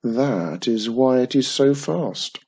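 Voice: mid-range voice, animated, mellow, slightly rough, exaggerated voice. A reader doing a wary little old man. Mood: dramatic. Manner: slow, emphatic, dramatic. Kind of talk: storybook reading.

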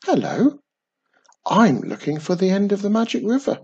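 A storyteller voicing Wizardy Witch, a cheerful young witch who is proud of how fast she is, in a high, quick, eager voice. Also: sing-song, husky, muffled recording. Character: cheerful young witch, boastful about her speed. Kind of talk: storybook reading.

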